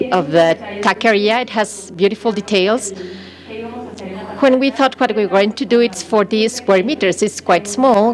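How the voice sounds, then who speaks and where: A woman working as a simultaneous interpreter at a conference, delivering a talk in short, piecemeal phrases. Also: mid-range voice.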